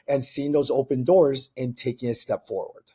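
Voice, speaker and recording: A man hosting a podcast interview, losing his voice and sounding husky, encouraging his guest. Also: mid-range voice, fairly even intonation, moderate pace, dark tone. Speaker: podcast host. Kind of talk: conversational interview.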